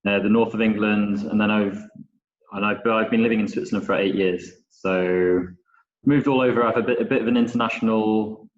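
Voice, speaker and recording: A man's voice: on the low side, steady tone, a little fast. A man born in Scotland, a chef instructor, chatting easily on a video call with some restarts. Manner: easygoing, with hesitant restarts. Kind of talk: casual webinar conversation. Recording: echoey, dull video call audio.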